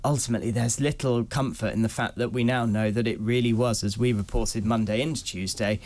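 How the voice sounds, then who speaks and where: A man's voice: mid-range voice, fairly even intonation, brisk, darkish tone. A British man giving explanatory news commentary as a correspondent, in an articulate, earnest, advisory way. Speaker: travel correspondent, guest.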